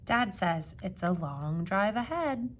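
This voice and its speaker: A woman reading a children's picture book aloud like a teacher, with expressive emphasis and questions put to young listeners. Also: mid-range voice, slight background noise. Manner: expressive, dramatic gasps and emphasis, pausing to ask questions.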